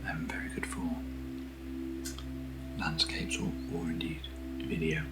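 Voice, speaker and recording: A British man speaking softly and breathily in casual ASMR style, unhurried and gently rambling as he hosts. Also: low voice, level tone. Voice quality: breathy, whispery. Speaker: host of ASMR unboxing videos. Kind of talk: soft-spoken ASMR, casual chat. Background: underlying music.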